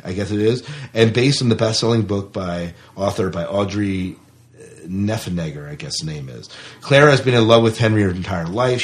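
A man co-hosting a podcast, talking casually about films and reading out reviews. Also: lowish voice. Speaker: podcast co-host.

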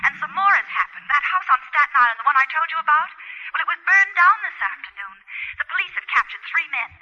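A woman's voice: high-pitched, animated, fast talker. An actress playing an anxious, earnest married woman urgently confiding in a friend, in 1940s radio drama style. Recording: muffled old radio broadcast, telephone-like tone.

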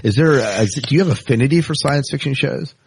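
A man's voice: mid-range voice, fast, slightly rough. A man co-hosting a podcast in a casual, conversational style. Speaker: podcast co-host. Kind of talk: casual chat.

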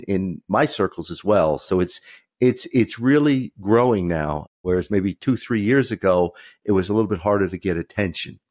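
A man's voice: fairly low pitch, darkish tone, no room sound, clean studio sound. A man hosting a podcast, chatting casually and welcoming his guest in a friendly, upbeat way. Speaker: podcast host.

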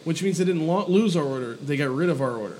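A man's voice: on the high side, animated, fast, a little mellow, a little hiss or hum. A man in his forties co-hosting a podcast, chatting casually in a wry, sarcastic manner. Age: 40s. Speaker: podcast co-host.

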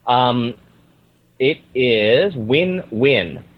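A man with a whiny, nasal voice, a podcast guest who explains in a lively way with everyday examples. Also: mid-range voice, very slow, no echo. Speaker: podcast guest, social media agency owner. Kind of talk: casual podcast chat. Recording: slightly dull lo-fi audio.

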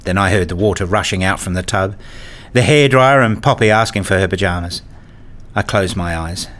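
A man's voice: deep voice, moderate pace, fairly bright tone. A man playing a weary, hurt father who narrates his own story in a radio play. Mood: sombre, measured. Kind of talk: first-person radio play narration and acting.

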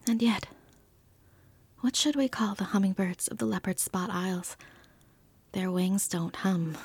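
A woman acts as an earnest field naturalist in an audio drama, full of quiet wonder. Her voice is soft, breathy and husky, recorded close and very dry. She speaks thoughtfully and lyrically, without hurry. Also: fairly low pitch, no echo. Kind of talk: scripted audio-drama field report, measured narration.

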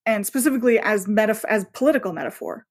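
A woman speaking casually as an interview guest, telling things in an animated, bookish and enthusiastic way. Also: medium pitch, quick pace. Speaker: podcast guest, author.

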